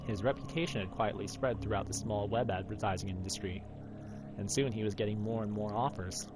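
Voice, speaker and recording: A man hosting a gaming playthrough, reading a visual novel aloud in a measured storytelling way and lightly acting out dialogue. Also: lowish voice, music in the background. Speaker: gaming video host.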